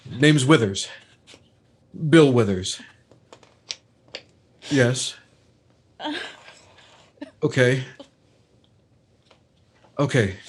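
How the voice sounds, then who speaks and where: A man playing a hesitant, gentle working man who has witnessed a crime, halting and low-key, in a 1950s-style radio drama. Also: a little hiss or hum.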